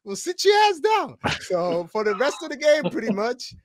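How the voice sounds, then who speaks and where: A man hosting casual sports talk, telling stories in an animated, slangy way and repeating words for emphasis. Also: extremely high pitch, normal speed, dry recording, clean studio sound. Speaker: podcast host and former college football player.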